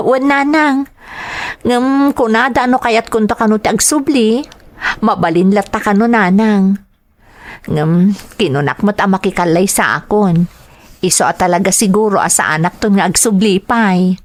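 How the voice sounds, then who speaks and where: A woman's voice: medium pitch, normal speed, lightly acted. A woman playing a devoted, hopeful grown daughter with an Ilocano accent in a family audio drama. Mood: hopeful, affectionate.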